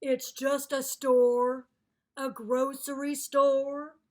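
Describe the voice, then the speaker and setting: A woman voicing an indignant, exasperated male alligator in a children's storybook, complaining loudly and emphatically. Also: high-pitched, level tone, very slow. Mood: defensive, annoyed.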